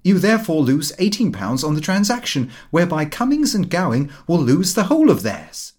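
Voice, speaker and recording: A man voicing a brash, excitable young son, flippant and slangy, in an audiobook. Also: fairly high pitch, fairly quick, slightly rough, voice pushed up. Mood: irritated. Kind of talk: audiobook character voice.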